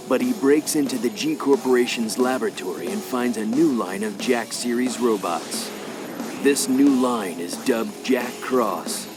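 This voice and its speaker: A man as a solemn storyteller, giving measured, dramatic scripted narration for video game cutscenes. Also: medium pitch, close to an everyday voice. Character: solemn omniscient male storyteller of dark legends.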